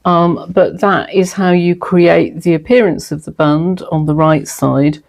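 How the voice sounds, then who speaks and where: Woman, middle-aged, low gravelly voice, slightly throaty from a cold, chatty home sewing vlog. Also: fairly even intonation, a little slow, darkish tone. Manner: chatty, self-deprecating, frequent ums. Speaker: sewing vlogger. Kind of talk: casual sewing tutorial and chat.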